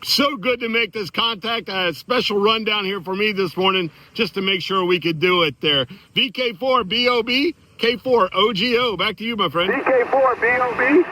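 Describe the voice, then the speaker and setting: An American man chatting casually and excitedly as an amateur radio operator, friendly and effusive. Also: high voice, moderate pace, few pauses, dry recording, rough recording. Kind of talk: casual enthusiastic chat and radio exchanges.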